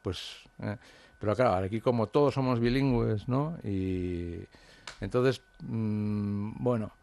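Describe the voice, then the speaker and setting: Author guy from the basque country, thinking out loud, lots of ums, low mellow voice. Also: slow, dry recording. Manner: hesitant, reflective, many fillers and restarts. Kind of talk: interview conversation.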